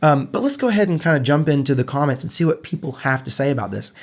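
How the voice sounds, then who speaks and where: A man's voice: a little high, fast talker. Stuffed-up man co-hosting a podcast. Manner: relaxed, chatty, self-deprecating. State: stuffed up from allergies. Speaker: podcast co-host. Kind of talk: casual gaming podcast chat.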